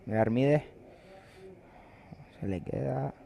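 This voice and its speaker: A man's voice: medium pitch, slow pace, creaky. Easygoing male surf co-commentator. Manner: relaxed, agreeing, short reactions. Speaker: bodyboarding co-commentator. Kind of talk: live sports commentary in Spanish.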